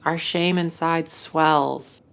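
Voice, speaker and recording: A woman narrating a guided meditation in a soothing, deliberate, instructive way, as the guide. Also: deep voice, fairly even intonation, creaky.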